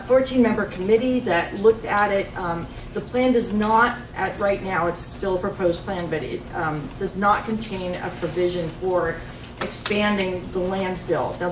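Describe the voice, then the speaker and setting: A woman who is a teacher giving an informative public comment in a loud teacher voice, with many ums. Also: fairly low pitch. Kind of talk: public comment at a board meeting. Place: township hall meeting room. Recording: echoey room from a far mic, noisy.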